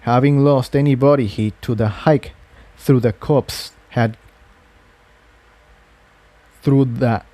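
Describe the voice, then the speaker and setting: A man reading an adventure story aloud as narrator, in a measured, scripted storytelling style. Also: mid-range voice, fairly even intonation, warm tone, no echo. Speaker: narrator, audiobook reader.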